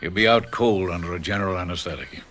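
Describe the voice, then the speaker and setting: A calm, soothing surgeon. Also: male voice, low-pitched, quick pace, slight background noise. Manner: soothing, reassuring. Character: calm male surgeon, Forrest.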